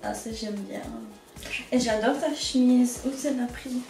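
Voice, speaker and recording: A woman on a reality show, chatting quietly and flirtatiously. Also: fairly high pitch, measured pace, distant mic. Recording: echoey room, music behind.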